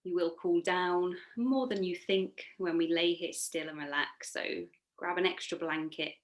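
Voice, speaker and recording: Woman guiding relaxation in an even, low-set voice. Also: a little slow. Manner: soothing, gently encouraging, unforced. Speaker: yoga nidra instructor. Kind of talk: guided relaxation meditation.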